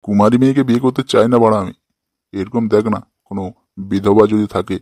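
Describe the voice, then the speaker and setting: A man's voice: on the low side, steady tone, quick pace, a little mellow, no echo, natural voice. A man playing a grumpy, lonely old grandpa in a comic cartoon, complaining and swearing coarsely. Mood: hopeful, bargaining.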